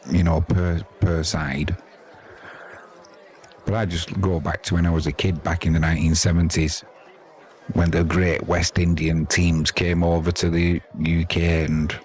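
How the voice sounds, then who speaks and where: A man's voice: low voice, darkish tone. An older British man giving casual ball-by-ball cricket commentary in a chatty, rambling, colloquial way. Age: 50s or older. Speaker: sports commentator. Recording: crowd noise behind the voice.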